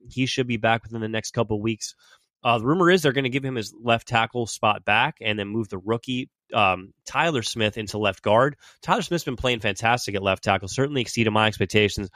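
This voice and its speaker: A man's voice: medium pitch, fairly bright tone, no echo, studio quality. Man with a cold talking football. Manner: chatty, fan-style predictions. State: has a cold. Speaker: sports podcast host. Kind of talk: casual sports talk.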